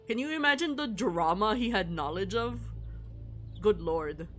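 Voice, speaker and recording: Slow-talking woman streamer with wry rambling chat. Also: medium pitch, lively intonation. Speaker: streamer playing a visual novel. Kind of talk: casual livestream chat, reading game text aloud. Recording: music behind the voice. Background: music.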